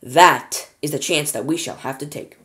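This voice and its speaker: A storybook reader voicing a hurried, boastful, eccentric male inventor with grandly polite, exclamatory speech. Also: medium pitch, fairly bright tone, lightly acted. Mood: assured.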